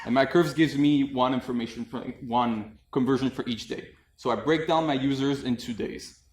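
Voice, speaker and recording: A man's voice: mid-range voice, moderate pace. Young man presenting in an echoey room. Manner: relaxed and chatty, with frequent "uh" fillers and light jokes. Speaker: conference presenter. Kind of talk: technical conference talk. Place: conference room with audience, indoors. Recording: echoey room, mic at a distance.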